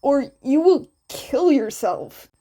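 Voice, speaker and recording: A woman's voice: high-pitched, very animated, slow pace, dark tone, heavily acted. A woman voicing a confident, practical teenage boy in a story reading, explaining things matter-of-factly. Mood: reassuring. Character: confident, practical teenage boy hero student, advising classmate.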